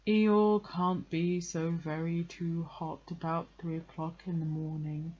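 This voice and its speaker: A woman voicing a gloomy, self-pitying male donkey in a slow, melancholy, drawn-out storybook delivery. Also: lowish voice, little pitch movement, muffled recording. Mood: gloomy, resigned. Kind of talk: children's storybook character reading.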